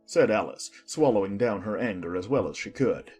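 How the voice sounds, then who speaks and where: Lively low-pitched male storybook narrator. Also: moderate pace, breathy edge, faint music behind. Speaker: audiobook narrator.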